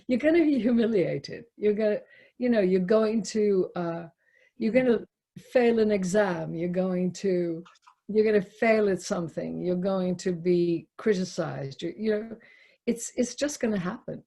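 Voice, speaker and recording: A woman's voice: mid-range voice, a little slow, dark tone. A woman leading a reflective discussion with an audience, speaking hesitantly and repeating words and phrases for emphasis.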